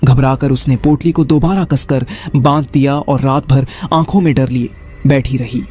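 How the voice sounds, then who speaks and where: A man's voice: medium pitch, steady tone, normal speed, darkish tone, slightly rough, faint music behind. Dramatic male horror story narrator.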